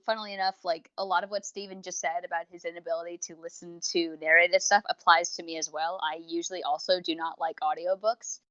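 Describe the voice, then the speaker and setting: A woman's voice: on the low side, a bit bright. A woman co-hosting a podcast, chatting casually and explaining her opinions in long, wordy, unscripted sentences. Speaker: podcast co-host. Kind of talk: casual unscripted review chat. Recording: slightly dull, lo-fi microphone sound.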